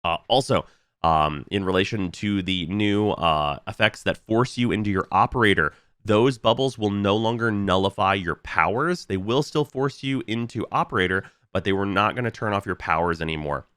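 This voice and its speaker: A man's voice: fairly low pitch, normal speed, a bit bright, smooth, no room sound. A young man with a stuffy, nasal voice from a recent illness, casually talking through a game update with frequent fillers. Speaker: gaming video creator.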